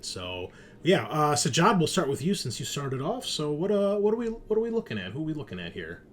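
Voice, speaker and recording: A man's voice: fairly high pitch, huge pitch swings, brisk, slight background noise. A man narrating a tabletop roleplaying game as game master, explaining things conversationally and addressing his players directly.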